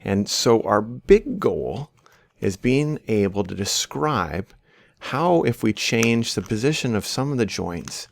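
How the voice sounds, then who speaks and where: A man's voice: fairly low pitch, vocal fry, no room sound. A man, giving an instructional university lecture and explaining worked examples into a close desk microphone. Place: small book-lined office, indoors. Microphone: large desk microphone close to the mouth.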